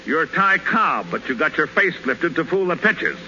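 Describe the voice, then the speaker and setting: An actor playing a gruff, wisecracking baseball team captain who barks at his players in a 1930s radio comedy. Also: fairly high pitch, lively intonation, moderate pace, bright, forceful tone. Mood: dry sarcasm. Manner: wisecracking, barking, slangy. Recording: muffled, noisy 1930s radio broadcast recording.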